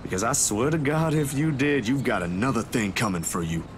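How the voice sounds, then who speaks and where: A man's voice: medium pitch, a little fast, natural voice. A man playing an earnest, protective and stubborn young man in a visual novel game. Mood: concerned, insistent. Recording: clear background noise.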